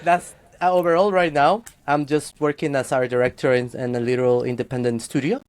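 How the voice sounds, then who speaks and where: A man's voice: mid-range voice, steady tone, a little fast. A man, a guest chatting candidly in an interview, rambling with restarts and frequent laughs. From Guatemala. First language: Spanish. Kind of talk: casual interview chat.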